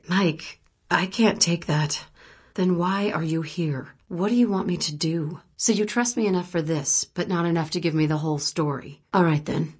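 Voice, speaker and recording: Husky, wry woman journalist. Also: lowish voice, a little mellow. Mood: guarded, earnest. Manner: dry, teasing, conversational. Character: wry, probing, guarded woman journalist in a spy thriller. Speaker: video game voice actress. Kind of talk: naturalistic video game dialogue acting.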